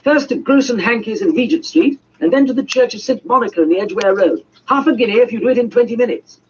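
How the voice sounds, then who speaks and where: Man playing frantic high-pitched Victorian gentleman. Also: fast. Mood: urgent, commanding. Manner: urgent, exclamatory. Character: excited, hurried Victorian gentleman barking urgent orders. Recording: muffled, noisy amateur audiobook recording.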